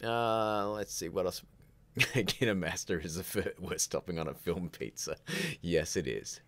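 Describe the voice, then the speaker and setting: Deep-voiced man chatting on a livestream. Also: measured pace, no room sound. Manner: candid, opinionated, jokey asides. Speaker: livestream host of a filmmaking show. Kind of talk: casual rambling live Q&A chat.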